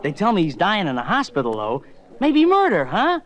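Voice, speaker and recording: An actor playing a chatty gin mill owner who loves trouble, in a 1950s radio drama. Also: male voice, high-pitched, wildly swooping pitch, moderate pace, a bit bright, heavily acted. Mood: gleeful. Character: gossipy, gleeful male gin mill owner.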